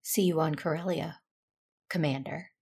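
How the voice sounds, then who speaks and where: A composed, principled female space knight , voiced by an actress, speaking formally and with authority. Her voice is lowish with a steady fry, in the manner of a sci-fi radio play. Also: moderate pace. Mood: stern, composed. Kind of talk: scripted audio drama dialogue.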